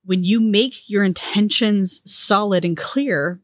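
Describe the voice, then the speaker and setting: A woman's voice: mid-range voice, slow pace, dry recording, studio quality. A woman co-hosting a podcast, talking in a reflective way as she searches for her words. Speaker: podcast co-host. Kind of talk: casual conversation.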